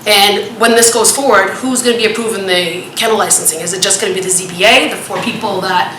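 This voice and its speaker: Woman resident at a public hearing: loud, bright, rambling and insistent, in an echoey meeting hall. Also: medium pitch, fast. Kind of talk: public comment at a town meeting. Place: town hall meeting room. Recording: echoey meeting room with light noise.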